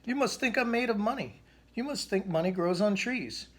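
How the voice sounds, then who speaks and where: A man's voice: high-pitched, lively intonation, moderate pace, voice pushed up. A man voicing a blustery, exasperated but fair father bear from a storybook, explaining things emphatically.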